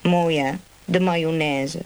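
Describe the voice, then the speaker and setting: A woman's voice: deep voice, steady tone, takes it slowly, a little mellow, clean tone, soft background music. An actress playing a coy, money-minded prostitute in a comic radio play, teasing and mock-prim as she bargains. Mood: mock-prim, bargaining.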